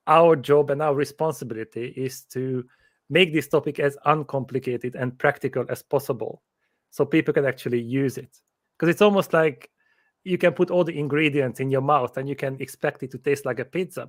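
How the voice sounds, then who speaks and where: A man's voice: mid-range voice, no room sound. A man from Hungary introducing himself as a panel guest and explaining his view in an earnest way. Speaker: panel guest, team consultant.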